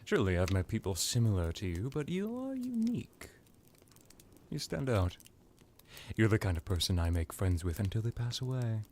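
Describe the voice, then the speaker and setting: A man's voice: lowish voice, big pitch swings, moderate pace, a little mellow, slight background noise. A man playing an ancient vampire lord in an ASMR roleplay, seductive, teasing and menacing, with a theatrical delivery that drifts into whispers. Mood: admiring.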